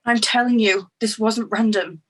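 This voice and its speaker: Actress doing a stressed exasperated woman in a crime script read, anxious and snappy, medium pitch. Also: steady tone, moderate pace, close to an everyday voice, rough recording. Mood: angry, frightened. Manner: sharp-tongued, exasperated, swearing. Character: anxious, impatient woman whose partner was abducted. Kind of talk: screenplay table read acting.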